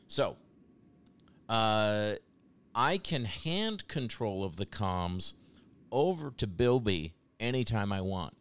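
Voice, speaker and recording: A man with a low, smooth voice walks through a software demo. He talks casually and explains each step conversationally, as in a screen-share tutorial. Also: big pitch swings, slow.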